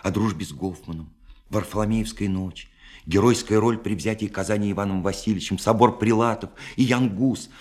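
An actor playing a lonely, romantic young dreamer in a radio play, speaking in an ornate, bookish, impassioned way with dramatic pauses and a husky voice. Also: male voice, lowish voice, darkish tone, lightly acted. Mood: rapt, wistful. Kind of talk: literary radio play acting, monologue.

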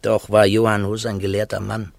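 A man's voice: fairly low pitch, monotone, moderate pace, gravelly, dry recording. An actor playing a sceptical, learned churchman in a radio drama, talking in a dry, musing way. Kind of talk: classic German radio drama dialogue.

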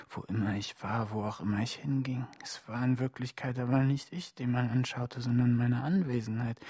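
A man playing a self-conscious outsider in a radio play, musing alone in a hushed, introspective monologue. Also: mid-range voice, close to an everyday voice. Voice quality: very husky, breathy. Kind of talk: German radio play acting.

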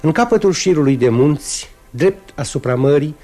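A man narrating a radio fairy tale in a measured, lyrical way. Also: a little high, expressive, dark tone, faint music behind. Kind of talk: scripted storybook narration.

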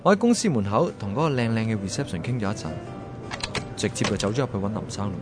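A man's voice: lowish voice, big pitch swings, fast, loud music behind the voice. An actor playing a hapless, wryly plaintive young man who narrates his diary in a radio drama. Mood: wry, bemused.

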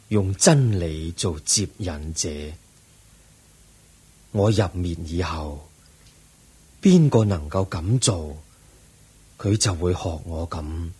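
An actor playing a serene, compassionate old Buddha in a radio drama, speaking gently and solemnly with measured delivery. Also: male voice, low voice, breathy edge, full character voice. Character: serene, compassionate old male Buddha giving final teachings. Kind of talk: Cantonese radio drama acting.

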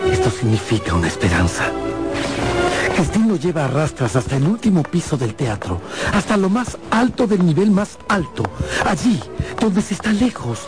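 A man narrating a radio drama from a script in a theatrical storytelling manner. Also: high voice. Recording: loud music behind the narration. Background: loud music.

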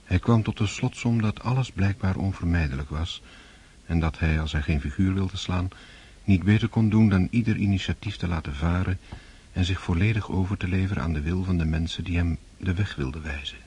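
Deep-voiced male radio drama narrator. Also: a little mellow, husky. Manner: composed, measured. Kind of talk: scripted literary narration. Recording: noisy old radio drama recording.

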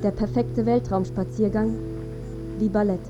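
Radio drama, actress as self-assured woman space commander, declamatory, dulled sound, loud music. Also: medium pitch, fairly even intonation, moderate pace, muffled recording, lightly acted. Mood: proud, declaring.

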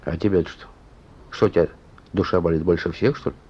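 An actor playing a shrewd, stern police chief with an ironic, folksy way of talking in a Soviet radio play. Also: male voice, deep voice, steady tone, brisk, husky. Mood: grim, stern. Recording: muffled old radio recording.

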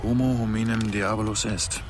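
An actor playing a dry, clinical doctor among stranded survivors in a German radio drama, matter-of-fact and measured. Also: male voice, lowish voice, little pitch movement, noisy background, natural voice. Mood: subdued.

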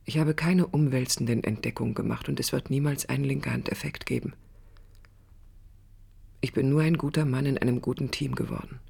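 A woman's voice: sits low, gravelly, a little mellow, slightly rough, exaggerated voice. An actress playing a lonely, pensive young woman who speaks intimately and wistfully to her absent brother in a radio drama. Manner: introspective, wistful, lyrical.

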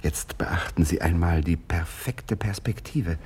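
An actor playing a vain, touchy, antisemitic young painter in a theatrical radio play, speaking in a pompous, defensive way. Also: male voice, deep voice, unhurried, darkish tone, breathy edge, exaggerated voice. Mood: proudly showing off.

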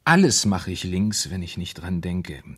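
A troubled, fearful man in a radio crime play. His voice is low and husky. He speaks haltingly, repeating words as he confides his dread. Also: big pitch swings, full character voice. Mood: earnest, hesitant. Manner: hesitant, halting, repeating himself. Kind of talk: German radio crime drama acting.

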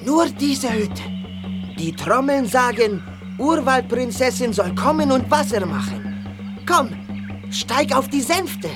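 An actress playing an earnest young villager with a simple, declarative way of speaking, in a children's audio drama with music behind. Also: female voice, high voice, expressive, slow. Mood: earnest, urging. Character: earnest young African villager bringing an urgent message.

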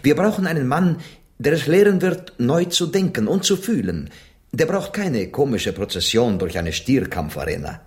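An actor playing a passionate, philosophical Spanish gentleman of centuries past in a German radio drama, declaiming with Spanish exclamations thrown in. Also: medium pitch, sing-song, moderate pace, close to an everyday voice. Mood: musing, fervent.

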